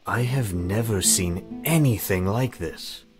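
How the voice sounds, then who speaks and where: A man's voice: fairly low pitch, takes it slowly, music in the background. A man playing a brooding, protective good man in a visual novel read aloud, with solemn, formal delivery. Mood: grave.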